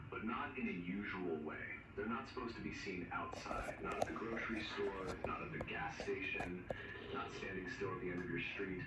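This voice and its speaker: A man reading a scripted horror emergency broadcast, giving ominous warnings in short, measured phrases. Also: deep voice. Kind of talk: scripted analog horror narration. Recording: noisy, echoey, distant broadcast-style recording.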